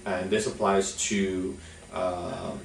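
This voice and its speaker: A young man from Poland, giving a measured instructional talk to camera as a business executive, with many "uh" fillers. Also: deep voice, flat delivery, creaky. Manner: frequent "uh" fillers, measured delivery. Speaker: chamber of commerce executive. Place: office with logo backdrop. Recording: echoey room, mic at a distance.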